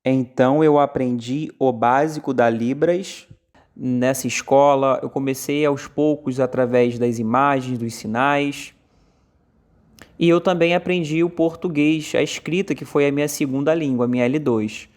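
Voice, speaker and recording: A Brazilian man interpreting a deaf speaker's talk aloud in first person, in short halting phrases with frequent fillers. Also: medium pitch, darkish tone. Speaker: sign language voice interpreter.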